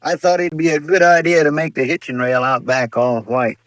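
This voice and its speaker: A man's voice: a little high, little pitch movement, moderate pace, no echo, natural voice. Folksy, eager frontier helper played by a man. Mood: earnest, obliging. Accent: rural American drawl. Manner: folksy, earnest, deferential. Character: eager, deferential, simple-hearted marshal's helper, folksy. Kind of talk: 1950s radio western acting.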